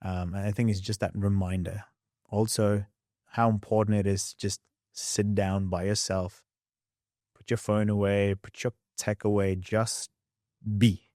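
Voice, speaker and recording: A young man with a mixed Australian and English accent, a podcast guest telling his story in a candid, animated way. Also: lowish voice, no room sound, studio quality. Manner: animated, quick storyteller who acts out past scenes.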